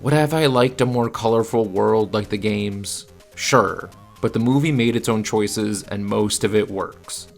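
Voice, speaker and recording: A man's voice: fairly low pitch, a little slow, vocal fry, few pauses, soft background music. A man narrating a scripted but conversational film review in an opinionated, matter-of-fact manner. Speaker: video essayist and film reviewer.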